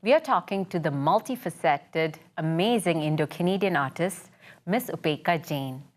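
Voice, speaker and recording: Casting: woman TV host, eager and complimentary, slightly low voice. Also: lively intonation. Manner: enthusiastic, praising, quick affirmations. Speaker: talk show host. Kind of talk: TV interview hosting.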